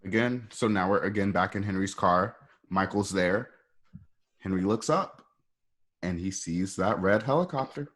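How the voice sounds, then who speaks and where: A man's voice: sits low, measured pace, fairly bright tone, slight background noise. A man reading out stage directions for a radio play in an informal, conversational way, with asides to the listeners. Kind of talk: live table-read narration of a screenplay.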